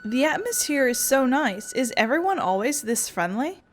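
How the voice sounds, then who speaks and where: A woman's voice: high-pitched, sing-song, normal speed. A woman playfully voicing along with a video game, with music behind her.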